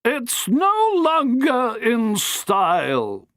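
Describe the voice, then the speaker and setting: A reader voicing a furious, pompous older matron who declaims slowly and theatrically. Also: female voice, on the high side, wildly swooping pitch, fairly bright tone, no echo, clean studio sound, voice pushed up, full character voice. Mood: indignant, grand. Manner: haughty, theatrical, slow declamation.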